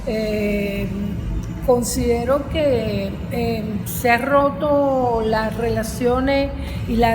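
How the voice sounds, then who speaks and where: A Venezuelan woman speaking formally as a university rector opening a panel, hesitant and deliberate. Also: a little high. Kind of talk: formal panel introduction with spontaneous remarks. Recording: echoey hall, music behind.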